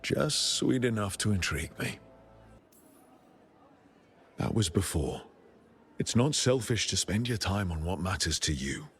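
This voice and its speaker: A supportive adventuring hero in a video game. His voice is very low and smooth in delivery, with soft music underneath. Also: big pitch swings, unhurried, long pauses, full character voice. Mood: flirtatious.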